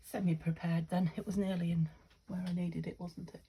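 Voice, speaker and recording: A woman from the UK chatting through a craft-along, thinking aloud and often dropping to a half-whisper, her voice a little croaky. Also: on the low side, little pitch movement, fairly quick, dark tone. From United Kingdom. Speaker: craft vlogger. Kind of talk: casual craft-along chat.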